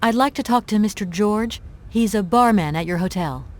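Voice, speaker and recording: A woman's voice: mid-range voice, normal speed, natural voice. A woman playing a determined, polite young adventurer in a video game, speaking in an earnest, courteous way. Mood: earnest, urgent. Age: young adult.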